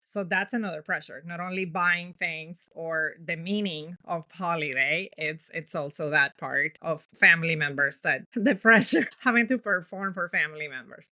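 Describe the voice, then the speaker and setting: Bright-voiced woman therapist from Colombia. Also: on the low side, moderate pace, few pauses. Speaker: therapist and podcast host. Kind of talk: casual podcast conversation.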